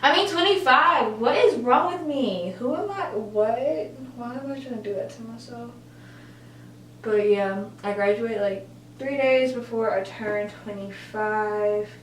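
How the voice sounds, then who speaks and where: A woman's voice: a little high, measured pace, a bit bright. Woman in her twenties, casual vlog chat, rambling, filler-heavy, echoey room, faint hum. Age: 20s. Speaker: college student vlogger. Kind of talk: casual get-ready-with-me chat. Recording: roomy echo, distant mic, faint hum.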